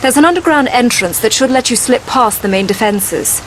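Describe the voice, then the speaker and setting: An actress playing a cool, sardonic wartime spy handler with a British accent in a video game cutscene. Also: female voice, a little high, expressive, normal speed, slight background noise, lightly acted, poor audio. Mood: businesslike, teasing. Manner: cool, crisp, dryly teasing. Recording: music behind the dialogue.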